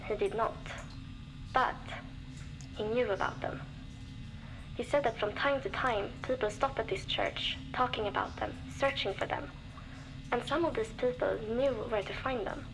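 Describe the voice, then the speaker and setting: An actress playing a young woman survivor, reflective and determined, recording a measured audio diary for a video game. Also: a little high, music in the background, rough recording. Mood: calm, hopeful. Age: young adult.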